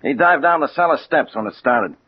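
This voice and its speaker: An actor playing a plain-spoken American homicide captain, matter-of-fact and official, in a 1940s radio crime drama. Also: male voice, on the high side, lively intonation, a little fast, slightly rough. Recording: muffled old radio recording with hiss.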